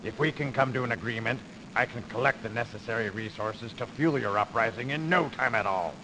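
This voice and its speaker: Gravelly, scheming industrialist, voiced by a man. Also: medium pitch, a little fast, lightly acted. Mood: grandiose, persuasive. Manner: insinuating, persuasive, grandiose. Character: crooked, smooth-talking industrialist man, calculating, persuasive schemer. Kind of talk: theatrical video game voice acting. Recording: noisy early CD game audio.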